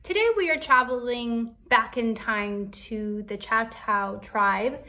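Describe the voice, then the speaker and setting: A woman teacher reading a folktale aloud to children in a deliberate, expressive storytelling way. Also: on the high side.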